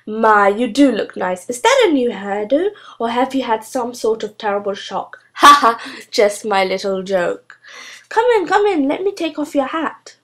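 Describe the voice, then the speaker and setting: Woman putting on a gushing, smarmy witch, pitched up. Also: sing-song, normal speed, a bit bright. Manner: fussing, over-friendly, exclamatory. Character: gushing, smarmy, slovenly female witch, eager to please.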